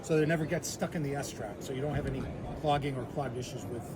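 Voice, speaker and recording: A man pitching his invention in a street interview, explaining eagerly with many filler uhs. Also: fast, heavy background noise. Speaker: product inventor.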